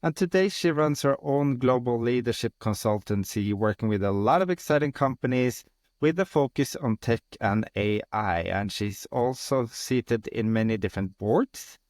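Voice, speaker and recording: A man's voice: medium pitch, animated, moderate pace, a little mellow, no echo, clean studio sound. A man hosting a podcast, introducing his guest and opening an interview in conversational speech. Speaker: podcast host.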